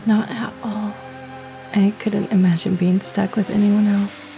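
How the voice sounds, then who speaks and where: Woman acting as rescued sci-fi heroine, breathy, tentative, intimate, narrow pitch range, unhurried, audio drama. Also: flat delivery, warm tone. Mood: tender. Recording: music bed under the voice. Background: dramatic music.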